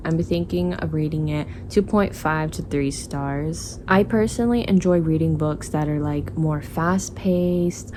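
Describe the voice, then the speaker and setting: Lower-pitched young woman, chatty book vlogger. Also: dark tone, a little hiss or hum. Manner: informal, youthful slang and asides. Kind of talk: casual chatty book review to camera. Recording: voice over clearly audible background music.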